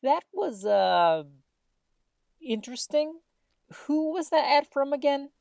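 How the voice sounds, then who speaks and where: An actress playing a friendly, easygoing radio co-host in an audio drama, bantering and musing in a conversational way. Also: female voice, sits high, takes it slowly, gravelly, dry recording, full character voice. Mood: awkward, baffled. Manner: animated, musing, trails off.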